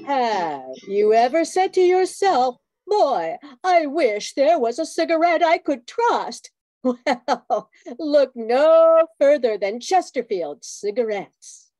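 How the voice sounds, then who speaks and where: A woman's voice: sits high, big pitch swings, slow pace, dark tone, no echo, faint music behind, full character voice. A woman playing a dramatic, salesy cigarette announcer with a bitter streak in an old-time radio commercial. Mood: dramatic sales pitch. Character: smooth cigarette ad announcer woman, wistfully bitter about exes. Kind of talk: 1940s radio commercial acting.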